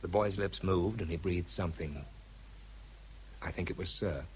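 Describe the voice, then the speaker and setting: A man acts a dry wartime English scientist in a radio drama. His voice is low, measured and understated, whether narrating or in dialogue. A faint hum sits on the recording. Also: lightly acted. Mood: sober narration.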